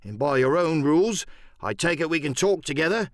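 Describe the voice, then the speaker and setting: A man performs a discontented pirate crewman in his thirties in an audiobook. The voice is pitched up and surly, stating grievances with mock courtesy in rough seaman's talk, close and dry. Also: fairly quick, no echo, close to an everyday voice. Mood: insolent, aggrieved. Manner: formal grievance in rough sailor speech. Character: surly mutinous pirate of thirty-five, mockingly polite. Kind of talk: dramatic audiobook character acting.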